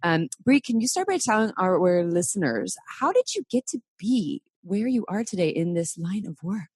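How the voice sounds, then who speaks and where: A woman's voice: medium pitch, big pitch swings, normal speed, darkish tone, husky, no echo, clean studio sound. A woman hosting a podcast in casual chat, with a gushing, informal and enthusiastic manner. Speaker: podcast host.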